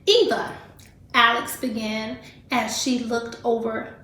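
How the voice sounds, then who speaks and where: Female teacher, fairly high voice, expressive storytime delivery aimed at children, unhurried, recorded in an echoey room. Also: slight background noise. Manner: deliberate, animated storybook delivery, addressing children directly. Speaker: teacher reading aloud to pupils. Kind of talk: expressive read-aloud with teaching asides.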